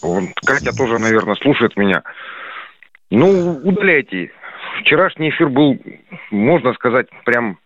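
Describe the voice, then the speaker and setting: A man with a cold calling in to a radio show, hoarse and halting, with many fillers. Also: medium pitch, big pitch swings, fairly bright tone. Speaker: caller, border guard. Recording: tinny phone line.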